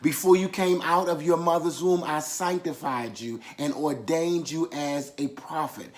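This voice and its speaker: A man's voice: fairly high pitch, steady tone, a little slow. A man preaching a sermon, repeating key phrases for emphasis and calling on listeners to affirm after him. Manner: emphatic repetition with call-and-response affirmations.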